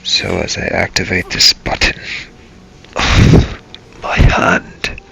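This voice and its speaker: A man playing a brooding vampire adventurer, narrating his dark journey in a dramatic, halting, solemn way for a game role-play. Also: a little hiss or hum, rough recording. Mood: grim, proud. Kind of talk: improvised in-character role-play narration over gameplay.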